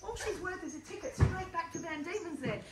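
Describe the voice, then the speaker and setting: A woman playing a bold, rowdy male convict ringleader in a live comic stage play, shouting orders and joking with the audience. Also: very high-pitched, brisk, recorded from a distance, heavy background noise, pitched above the performer's own voice. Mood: boisterous. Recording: echoey live hall miked from afar, loud crowd.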